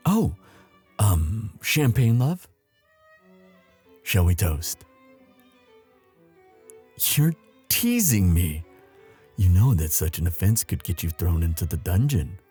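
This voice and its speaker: A man playing a young prince, a tender and anxious lover, in an intimate, halting romantic audio roleplay. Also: low voice, sing-song, warm tone, breathy edge, soft background music, exaggerated voice. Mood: tender, teasing.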